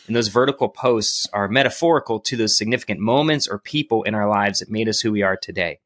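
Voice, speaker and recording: Male podcast host, mid-pitch, bright tense tone, dry close recording, affirming and agreeable. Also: fast, dry recording. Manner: affirming, with short agreeing interjections. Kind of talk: conversational interview.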